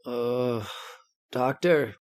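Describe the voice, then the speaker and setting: An actor playing a desperate, anxious castaway in an old-time radio horror drama, excitable and pleading. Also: male voice, mid-range voice, extremely slow.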